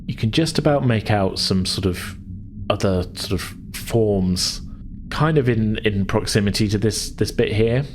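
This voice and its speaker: Man, low voice, tabletop narration, loose hesitant phrasing, game master, faint ambience behind. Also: unhurried, slight background noise.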